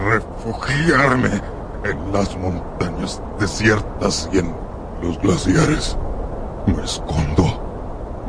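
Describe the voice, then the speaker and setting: An actor playing a gigantic, sorrowful creature of dead flesh in a radio drama, speaking gravelly, halting, broken phrases. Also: male voice, low voice, noisy background. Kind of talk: Spanish-language radio drama acting.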